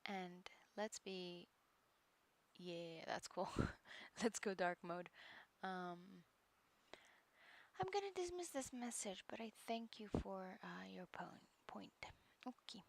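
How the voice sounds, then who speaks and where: Woman streamer w a low gravelly voice, talks slow, lots of ums and mumbled side comments. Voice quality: low speaking voice. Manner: hesitant, many fillers, muttered asides. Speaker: livestreamer studying a course. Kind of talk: casual live-stream chat.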